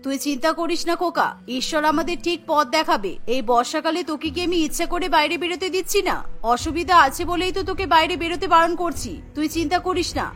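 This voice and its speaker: A woman acts a protective old mother from a cartoon. She is doting yet firm and emphatic, with a very high, bright voice. Faint music plays underneath. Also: fast talker. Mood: fond, reassuring. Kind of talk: cartoon voice acting.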